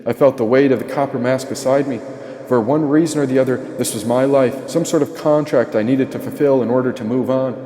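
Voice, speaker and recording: A man's voice: medium pitch, fairly even intonation, fairly quick, warm tone. A man reading an audiobook as a dramatic first-person story narration. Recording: echoey, long reverb tail.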